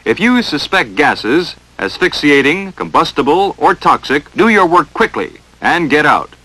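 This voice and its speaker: A man's voice: fairly high pitch, big pitch swings, unhurried, pushed and bright, poor audio. An American man narrating a 1940s instructional film in a stern, emphatic, dramatic coaching manner, on a vintage film soundtrack.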